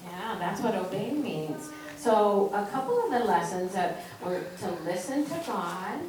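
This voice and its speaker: A woman leads an informal children's lesson in church, explaining things simply and prompting with questions. Her voice is at an average pitch for a woman. It is picked up from a distance with heavy echo and faint noise. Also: lively intonation, unhurried, a little mellow. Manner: simple teacherly phrasing with frequent questions. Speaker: children's message leader at church. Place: large echoey indoor church.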